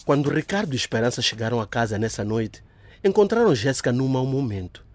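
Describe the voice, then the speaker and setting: A man's voice: mid-range voice, lively intonation, fairly quick, soft background music. A man narrating a radio drama from a script.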